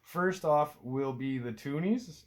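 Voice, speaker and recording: A man's voice: fairly high pitch, slow pace. A man from Canada with a stuffed-up cold, chatting casually and rambling to camera about his hobby, with offhand swearing and self-deprecating asides, recorded indoors at home. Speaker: outdoor hobby vlogger.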